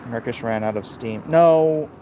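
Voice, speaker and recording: A man with a gravelly voice hosting a casual, opinionated livestream, answering chat in a blunt, dismissive way and muttering between thoughts. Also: medium pitch, measured pace, dull audio, background noise. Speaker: livestream host reading and answering chat comments.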